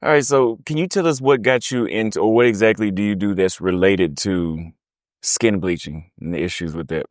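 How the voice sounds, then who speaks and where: A young man hosting a casual interview, speaking informally and relaxed. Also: lowish voice, moderate pace, no echo, studio quality. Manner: casual, relaxed, uses slang. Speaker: YouTube host.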